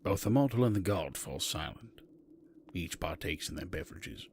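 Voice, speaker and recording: A man's voice: low-pitched, animated, normal speed, darkish tone, slight background noise. A man narrating a scripted fantasy story as its narrator.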